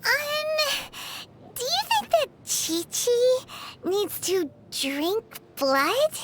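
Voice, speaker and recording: A woman voicing a tiny, childlike fairy companion in a video game, with a squeaky voice and a perky, eager manner. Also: very animated, extremely slow, a bit bright, slight background noise, full character voice. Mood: curious, excited. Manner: perky, refers to self in third person.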